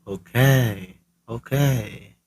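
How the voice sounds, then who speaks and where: A man's voice: mid-range voice, very slow, a little mellow, breathy edge, full character voice. A man playing an unbothered, businesslike hired killer in a radio play, speaking in a matter-of-fact, reassuring way. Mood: casual.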